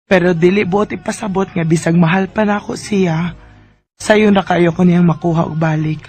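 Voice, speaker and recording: An actress playing an emotional, reproachful young jilted bride with a Filipino accent in a radio drama, with music underneath. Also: female voice, lowish voice, fairly even intonation, moderate pace, a little mellow, slightly rough. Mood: subdued, reflective.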